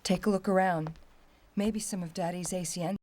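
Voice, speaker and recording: Woman playing poised rich daughter in a video game, deep-ish voice, dry and vengeful, bit of music behind. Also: steady tone, normal speed, natural voice. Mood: matter-of-fact, reminiscing. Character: poised, sardonic, vengeful young heiress, murdered man's daughter. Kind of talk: video game cutscene acting.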